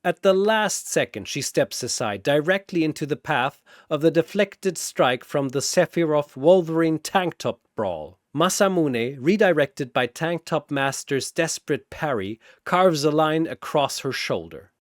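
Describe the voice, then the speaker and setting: A man narrates a dramatic scripted battle tale. His delivery is slow with lively swings in pitch, and the recording is very dry and close. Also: no room sound.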